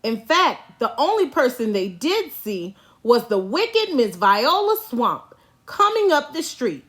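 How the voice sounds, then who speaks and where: Slow, high-voiced woman reading a storybook. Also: sing-song, a bit bright. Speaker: storybook reader. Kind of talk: expressive children's story narration.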